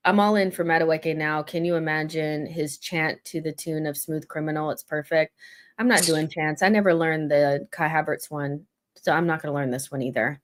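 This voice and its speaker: Low-voiced woman venting about football. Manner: blunt, pessimistic fan venting. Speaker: host. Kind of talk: casual football chat.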